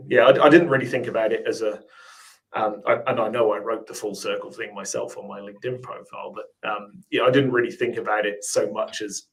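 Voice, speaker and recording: A man's voice: on the low side, low-quality recording. A man with a mixed English and Australian accent, a podcast guest talking reflectively, with many ums and restarts. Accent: English-Australian mix. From England. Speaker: podcast guest, finance officer. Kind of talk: casual interview chat.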